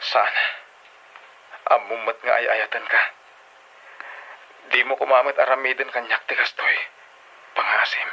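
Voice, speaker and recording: Muffled phone effect, man playing jilted boyfriend, Ilocano accent, mid-pitched, radio drama, bewildered, questioning, pleading. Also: steady tone, a little slow, long pauses, slight background noise. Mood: hurt, pleading. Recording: dull telephone-like band-limited sound.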